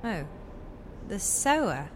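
A woman's voice: mid-range voice, sing-song, takes it slowly, a little hiss or hum. A woman playing a sceptical, down-to-earth adventurer's companion in a radio adventure drama, plain-spoken and cautious. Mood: wry, doubtful. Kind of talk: old-time radio adventure acting.

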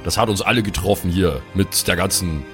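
A man's voice: low voice, brisk, fairly bright tone, faint music behind. A man playing a put-upon, bookish grown son who is a devout fantasy cleric, earnest, whiny and self-justifying, in an improvised audio play. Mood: earnest, plaintive.

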